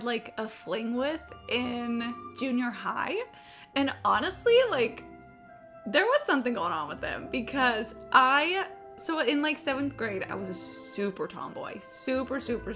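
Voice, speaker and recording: A woman's voice: a little high, pushed and bright. A woman streamer chatting casually while gaming, rambling and candid, slangy and playfully sarcastic.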